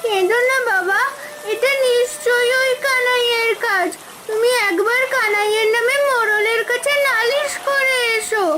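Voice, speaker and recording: A woman's voice: very high-pitched, moderate pace, heavy background noise. A woman voicing an earnest little village girl, a devoted daughter in a children's cartoon. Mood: concerned. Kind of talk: Bengali cartoon voice acting.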